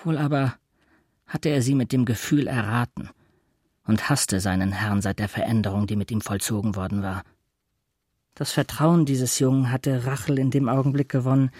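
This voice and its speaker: A man's voice: mid-range voice, normal speed, a little mellow, husky, no room sound. Male literary narrator, close dry sound. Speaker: narrator of a literary radio play.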